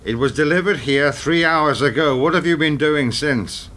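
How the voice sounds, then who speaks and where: An actor playing a terse, sardonic, suspicious older boss in a radio play, clipped and questioning. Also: male voice, on the high side, moderate pace, a bit bright, close to an everyday voice. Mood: impatient. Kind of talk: community radio play dialogue.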